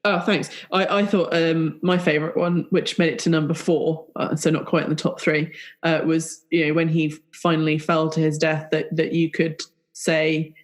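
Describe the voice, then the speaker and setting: A woman playing a cheeky, eager spy with a halting delivery in an improvised audio roleplay. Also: sits low, little pitch movement, natural voice. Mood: pleased, eager. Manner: cheeky, halting, pun-loving. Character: cheeky, eager female spy codenamed Pigeon.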